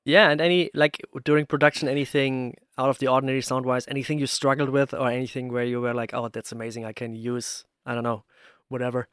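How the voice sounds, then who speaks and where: A man's voice: mid-range voice, little pitch movement, fast talker, a bit bright, smooth, dry recording, clean studio sound. A man hosting a casual interview, chatty and affirming as he listens. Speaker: interviewer, host.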